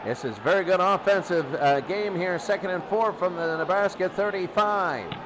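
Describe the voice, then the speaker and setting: A man's voice: high-pitched, normal speed, noisy background. A man doing live football play-by-play in short, repetitive sentences, as the announcer. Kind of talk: live play-by-play sports commentary.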